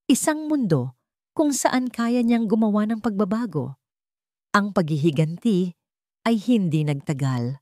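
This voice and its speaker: A woman narrating a drama story in a measured, expressive storytelling manner. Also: deep voice, dry recording, studio quality.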